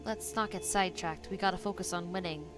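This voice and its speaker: A woman's voice: fairly low pitch, fast, loud music behind the voice. A woman giving casual, off-the-cuff commentary as she streams a video game.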